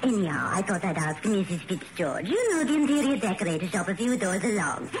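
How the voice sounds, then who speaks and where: A woman playing a chatty, inquisitive middle-aged mother in an old-fashioned radio drama, genteel, gossipy and gently chiding. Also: medium pitch, sing-song, a little fast, noisy background, natural voice.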